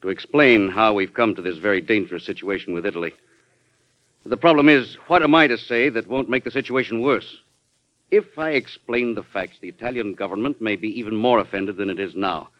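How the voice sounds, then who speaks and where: An actor playing a worried, deferential Secretary of State in an old-time radio drama, hesitant and respectful. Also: male voice, lowish voice, a little fast, lightly acted. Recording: dull lo-fi vintage radio recording.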